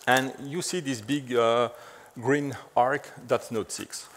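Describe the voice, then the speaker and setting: A man's voice: medium pitch, fairly even intonation, takes it slowly. A middle-aged man from French-speaking Belgium with a French accent, giving a conference talk on stage in a chatty, filler-heavy way. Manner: chatty, frequent "so" and "uh" fillers, light self-deprecating humor. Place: large dark conference stage.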